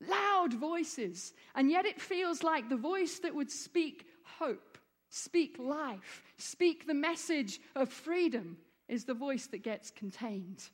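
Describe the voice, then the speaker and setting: A woman who grew up in Egypt preaches with earnest conviction, often swelling into fervent emphasis. Her voice sits high, and it rings out with the long reverb of a large hall. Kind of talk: sermon.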